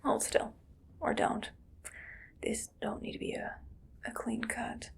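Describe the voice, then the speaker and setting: A woman playing a cold, calculating mad scientist in an ASMR roleplay, whispery and creaky, speaking in a clinical, deliberate, quietly menacing way. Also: a little high, steady tone, heavily acted. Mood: calmly menacing.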